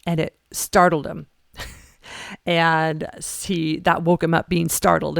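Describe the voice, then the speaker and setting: A woman's voice: deep voice, vocal fry, no echo, clean studio sound. A middle-aged woman hosting a fitness podcast, talking in a chatty, emphatic way and laughing often. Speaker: podcast host and fitness coach. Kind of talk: casual motivational talk.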